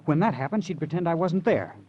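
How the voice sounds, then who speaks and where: A man's voice: on the high side, expressive, quick pace, close to an everyday voice. An actor playing a polite, earnest, easily wounded young man in 1950s radio drama style. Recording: muffled, hissy old radio recording.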